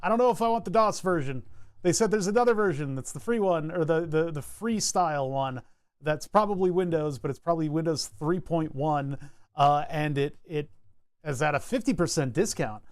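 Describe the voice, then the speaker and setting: A man's voice: a little high, normal speed, smooth, no room sound, clean studio sound. A man streaming live, chatting casually while he designs a circuit board, thinking aloud and often restarting his sentences. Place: home computer desk.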